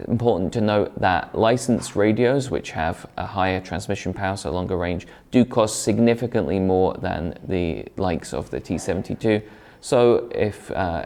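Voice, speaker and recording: A man's voice: sits low, gravelly, darkish tone. A man presenting a product review in a conversational, explanatory way, partly scripted.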